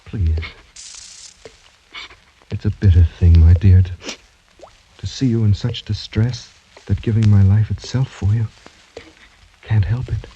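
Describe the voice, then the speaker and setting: An actor playing a proud, doomed young French gentleman in formal, courtly, brooding old-time radio drama style. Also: on the low side, fairly even intonation, measured pace, long pauses, slight background noise, close to an everyday voice. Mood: sorrowful, resigned. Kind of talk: 1940s-50s American radio drama acting. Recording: dull, muffled old radio broadcast recording.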